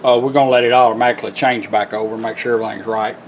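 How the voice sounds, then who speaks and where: A man's voice: on the low side, fairly even intonation, very fast, highs cut off, slight background noise. A man giving an informal, plain-spoken, step-by-step demonstration of a product. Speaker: car radio builder giving a product demonstration. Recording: music playing behind the voice.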